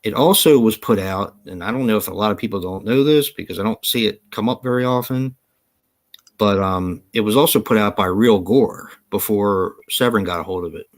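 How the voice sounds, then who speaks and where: Low-voiced man chatting on a live show. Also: a little fast. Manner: rambling, hesitant with many fillers; laughs easily. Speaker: podcast co-host. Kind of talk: casual live-stream chat. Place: home.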